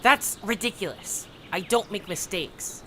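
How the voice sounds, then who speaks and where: A man's voice: sits high, a little slow, pushed and bright, full character voice. A man voicing a bossy, arrogant young adventurer in an audio drama, sarcastic and scornful in delivery. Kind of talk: animated audio drama acting.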